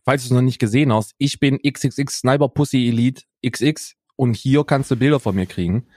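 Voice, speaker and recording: Man, sardonic podcast co-host, slangy chatter, mid pitch, dry close sound. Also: a little fast, a little mellow, dry recording. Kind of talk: casual chat.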